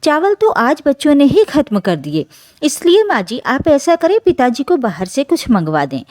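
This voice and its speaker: Selfish young daughter-in-law performed by a female storyteller: brusque, dismissive, high-pitched, very animated, family drama. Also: moderate pace, no room sound. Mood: startled, dismissive. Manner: sharp, dismissive.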